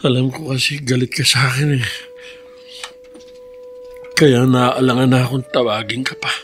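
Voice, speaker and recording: A man playing a remorseful father in a Filipino radio drama, speaking in a halting, emotional way. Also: medium pitch, level tone, fairly bright tone, slight background noise, natural voice. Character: remorseful, troubled ailing father.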